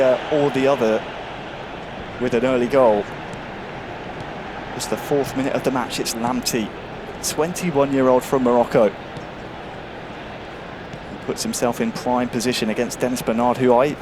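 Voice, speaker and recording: A man doing live play-by-play commentary on an esports football match, rising with excitement on goals. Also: mid-range voice, background noise. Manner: lively, builds excitement on goals.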